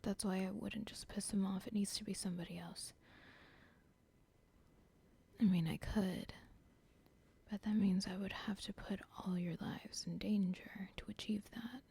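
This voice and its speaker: A woman's voice: mid-range voice, steady tone, a little slow, vocal fry, long pauses, dark tone, slightly rough, full character voice. A woman voicing a calculating female cartel boss in an ASMR roleplay, whispering breathily and plotting aloud. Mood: scheming whisper.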